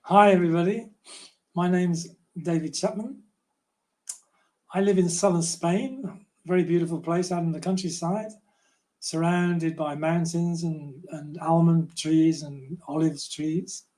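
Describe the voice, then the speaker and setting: An older man reading a children's storybook aloud in a gentle, unhurried way. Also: a little high, fairly even intonation. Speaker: children's author reading own book.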